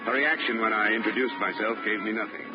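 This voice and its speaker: A man's voice: lowish voice, fairly even intonation, quick pace, over loud music, lightly acted. A man playing a hard-boiled insurance investigator who narrates and questions people in a 1950s radio drama, with a dry, wry delivery. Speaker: narrator and lead. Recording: muffled old radio broadcast with loud hiss.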